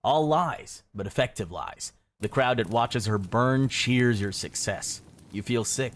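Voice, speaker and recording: A man reading scripted second-person narration in a measured, matter-of-fact way. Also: mid-range voice, expressive, slight background noise.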